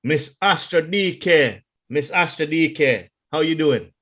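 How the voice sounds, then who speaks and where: A man's voice: a little high, animated, fairly bright tone. A man hosting a podcast in a loose, upbeat, casual way. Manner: upbeat, loose, drawn-out hype phrasing. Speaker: podcast host.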